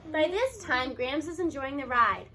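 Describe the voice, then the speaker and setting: Bright, high-voiced woman reading a story aloud. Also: lively intonation, a little slow. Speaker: audiobook reader. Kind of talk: expressive read-aloud of a children's novel.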